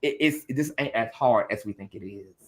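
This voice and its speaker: A man's voice: mid-range voice, normal speed. A man hosting a podcast discussion, speaking with passion, often repeating words and swearing casually.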